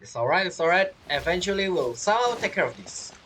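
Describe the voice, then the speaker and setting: A woman's voice: fairly low pitch, lively intonation, fast talker, fairly bright tone, slight background noise. A woman doing casual gameplay commentary, chattily talking back to the game's characters. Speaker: game streamer.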